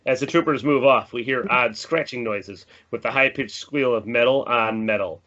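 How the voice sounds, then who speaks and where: A man's voice: medium pitch, moderate pace, sharp tone, highs cut off. A man reading a screenplay's stage directions as dramatic, descriptive scripted narration.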